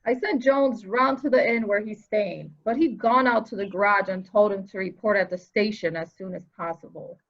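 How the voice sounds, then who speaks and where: A woman's voice: medium pitch, normal speed, few pauses, close to an everyday voice. A woman playing a dour, opinionated subordinate police officer with a folksy way of talking, in a dramatic reading of a murder mystery. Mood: deferential. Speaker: actor in a library's dramatic reading. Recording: slightly dull, lo-fi audio.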